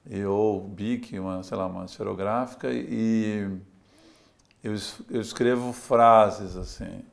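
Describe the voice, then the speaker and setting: Gravelly low-voiced man from Santos. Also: steady tone, slow. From Santos, Brazil. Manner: reflective, tells stories with small laughs and "né?". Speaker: sailor and adventurer. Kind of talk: relaxed interview talk.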